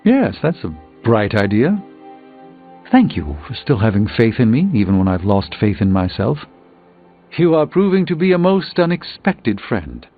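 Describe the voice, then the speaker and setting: An actor playing a proud, erudite sorcerer hero in a video game, speaking in an articulate, theatrical and pompous way. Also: male voice, mid-range voice, big pitch swings, moderate pace. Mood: grateful, reflective. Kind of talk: video game character dialogue. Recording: phone-like band-limited sound under music. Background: music.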